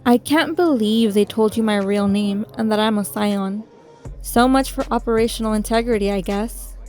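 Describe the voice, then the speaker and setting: An actress playing a guarded, businesslike undercover agent in a sci-fi audio drama, curt and unimpressed. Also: female voice, medium pitch, normal speed, a little mellow, soft background music, lightly acted.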